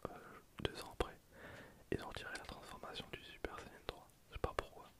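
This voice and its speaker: Guy whispering asmr gameplay commentary, super breathy, casual and chatty. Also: a little fast. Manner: casual, informal. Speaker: gaming video creator.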